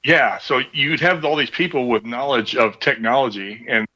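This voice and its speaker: Bright-voiced man on a dull headset line. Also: mid-range voice, normal speed. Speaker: show participant. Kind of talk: casual chat. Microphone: headset on a remote call.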